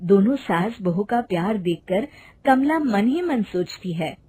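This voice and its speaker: A woman narrating an animated storybook in a plain, even storytelling manner. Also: medium pitch, a little slow, slight background noise.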